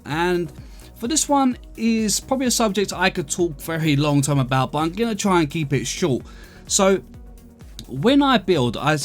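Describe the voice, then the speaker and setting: A man with an English accent and a slightly high-pitched voice talks casually through a gaming video. He explains things in a chatty way, speaking to his viewers as friends. Music plays behind his voice. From London, UK. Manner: chatty, explanatory, addresses listeners as guys. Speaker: gaming video creator and host. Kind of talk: casual gameplay commentary answering viewer questions.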